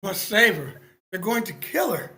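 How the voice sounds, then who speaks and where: A woman's voice: medium pitch, very animated, moderate pace, bright, forceful tone, low-quality recording. A woman playing a compassionate, motherly young creature huntress in a screenplay table read. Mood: urgent pleading.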